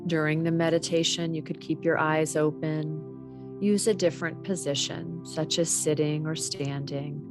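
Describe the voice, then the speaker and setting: A woman guiding a body scan meditation in a measured, gently instructional way. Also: low-pitched, flat delivery, over music. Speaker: meditation teacher.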